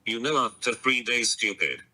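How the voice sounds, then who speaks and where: A man's voice: mid-range voice, normal speed, rough recording. A speech program doing a sneering robotic man. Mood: taunting. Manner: flat insults, mocking. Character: insulting male robotic phone voice. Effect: text-to-speech voice. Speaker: sting caller persona on a phone call.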